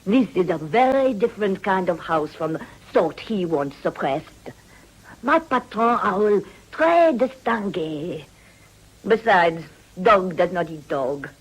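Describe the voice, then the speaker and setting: An actress playing a shrewd, worldly madam, brusque and grandly condescending in a period radio drama. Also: female voice, mid-range voice, expressive, slow, breathy edge, rough recording. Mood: knowing. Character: worldly, shrewd foreign madam, cynical and imperious.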